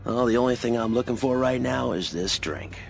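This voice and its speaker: An actor playing a laconic, world-weary freelance pilot in a video game, speaking in a low-key way. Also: male voice, a little fast, gravelly, soft background music, lightly acted. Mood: weary, guarded. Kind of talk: video game cutscene acting.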